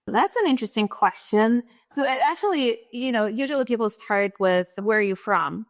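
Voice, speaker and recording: A woman's voice: fairly high pitch, lively intonation, normal speed, no room sound. Woman, forties, from Russia, interview guest, thoughtful, dry room. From Russia and Kazakhstan. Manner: thoughtful, laughs lightly between points. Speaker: guest, leadership strategist. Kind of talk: interview conversation.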